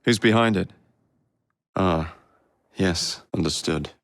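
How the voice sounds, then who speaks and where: An actor voicing a cocky, wisecracking young English assassin and gang leader in a video game, flippant and sardonic. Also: male voice, deep voice, slow pace, fairly bright tone, no echo, studio quality, heavily acted. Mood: cool, confident. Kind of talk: video game character dialogue.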